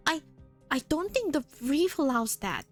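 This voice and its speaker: A woman's voice: high-pitched, very animated, measured pace, faint music behind. A woman voicing a timid, stammering young girl. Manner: hesitant, stammering.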